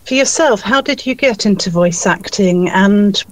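A woman's voice: mid-range voice, a little slow, no echo. A woman from London with an English accent, a voice actor in casual conversation. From London, England. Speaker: voice actor and audiobook narrator.

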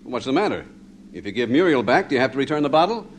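An actor playing a teasing, sarcastic, wisecracking family friend in 1950s radio comedy style. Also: male voice, medium pitch, sing-song, fast, close to an everyday voice. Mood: wry. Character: wisecracking, sardonic male family friend, fond of drink. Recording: very noisy old 1950s radio recording.

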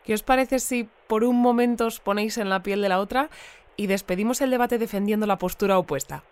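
An actress with a Spain Spanish accent playing a polite, composed news presenter in an audio fiction. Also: female voice, medium pitch, fairly quick, dry recording, natural voice. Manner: polite, clear presenter delivery. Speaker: news presenter and debate moderator. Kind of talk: scripted newscast in audio fiction.

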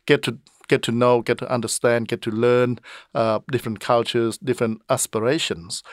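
Middle-aged man, mid pitch, halting earnest interview delivery, dry close recording. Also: dry recording. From Cambodia. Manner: earnest, halting, with frequent "uh" pauses. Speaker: state member of parliament. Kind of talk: interview answers.

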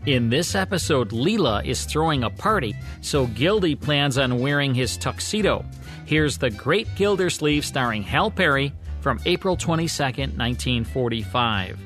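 A man's voice: mid-range voice, lively intonation, moderate pace, a bit bright, faint music behind. A man hosting a radio show, reading scripted narration.

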